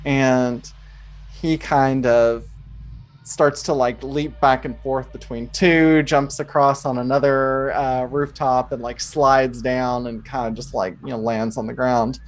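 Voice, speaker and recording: A woman narrating an improvised tabletop game as game master, in a loose, conversational, halting way. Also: very deep voice, fairly even intonation. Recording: music playing behind. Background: music.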